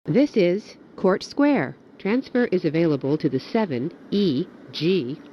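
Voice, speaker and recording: A woman giving recorded subway announcements in a formal, clearly phrased way. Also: on the low side, big pitch swings, measured pace, a little hiss or hum. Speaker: transit announcer. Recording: dull, lo-fi announcement audio.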